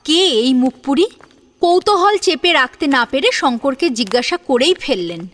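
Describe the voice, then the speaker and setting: A woman's voice: sits high, lively intonation, normal speed, a bit bright. A woman narrating a scripted audio drama as its storyteller. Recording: music under the voice.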